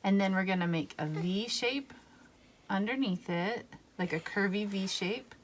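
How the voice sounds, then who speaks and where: A woman's voice: medium pitch, unhurried, slight background noise. A woman in her thirties leading a guided drawing lesson, giving patient step-by-step instructions. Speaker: art teacher. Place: home art studio.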